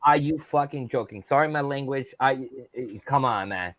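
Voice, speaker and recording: A man's voice: mid-range voice, normal speed, a bit bright, no echo, faint music behind. A man bantering casually, often repeating his words and exclaiming in disbelief. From outside the United States.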